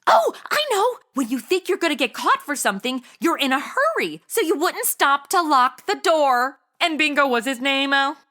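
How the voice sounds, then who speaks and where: A woman's voice: sits high, very animated, moderate pace, hardly any pauses, sharp tone, full character voice. An actress playing a brash, ditzy, fashion-obsessed modern young woman in a comedic audio drama, with a sassy, flippant delivery. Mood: eager, flippant.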